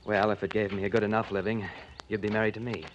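A man's voice: lowish voice, flat delivery, fast talker, dull audio, lightly acted. An actor playing a suave, lovelorn male magazine editor, urbane and arch in 1940s radio style. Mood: wistful. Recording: faintly noisy 1940s radio recording.

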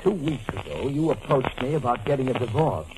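Calculating American lawyer, commanding, terse, deliberate, lively intonation, mid-pitched, old radio drama. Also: male voice, background noise. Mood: probing. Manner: deliberate, terse questioning and instructing. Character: cool, calculating lawyer friend, measured and commanding. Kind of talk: 1940s radio mystery acting. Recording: old 1940s radio broadcast.

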